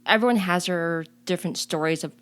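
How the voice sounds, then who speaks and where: A woman's voice: lowish voice, a little fast. A woman raised in Chicago, speaking earnestly and reflectively as an interview guest, often restarting her sentences. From born in a refugee camp on the Cambodia-Thailand border, raised in Chicago. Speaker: guest, community leader. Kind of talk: interview conversation.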